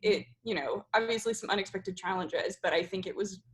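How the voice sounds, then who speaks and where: A woman's voice: medium pitch, fairly quick. A woman who directs theatre, hosting an informal group discussion in a casual, conversational style. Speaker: host, theatre director and producer.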